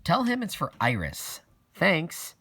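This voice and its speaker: Guy reading as an impatient kid girl from an audiobook, sarcastic, raised pitch, swoopy delivery. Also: huge pitch swings, takes it slowly, sharp tone, breathy edge, heavily acted. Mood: brisk. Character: deaf young girl, wry and impatient narrator's thoughts.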